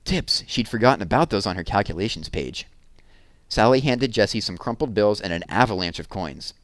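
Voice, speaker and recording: A man's voice: lowish voice, lively intonation, a little fast, a bit bright, no echo. A man reading a children's book aloud as a storyteller.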